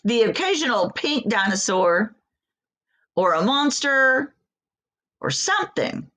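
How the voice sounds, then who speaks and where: A woman's voice: fairly high pitch, sing-song, slow, a bit bright, exaggerated voice. A woman voicing a miffed, neglected crayon in a storybook, blunt, confrontational and sarcastic.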